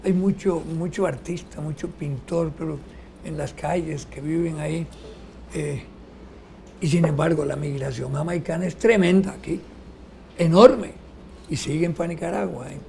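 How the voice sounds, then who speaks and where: An older man speaks in a reflective, drawn-out manner. His voice is gravelly with plenty of fry, yet fairly high-pitched. A faint hiss lies under the talk. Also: warm tone. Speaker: panelist. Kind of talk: casual chat.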